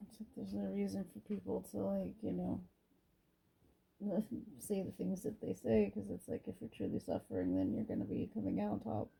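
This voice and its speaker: A woman's voice: medium pitch, animated, moderate pace, vocal fry, warm tone, a little hiss or hum, poor audio. A woman vlogger chatting casually about faith with her partner, opinionated and emphatic, often saying "like". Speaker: vlogger and mother. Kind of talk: casual religious chat with partner.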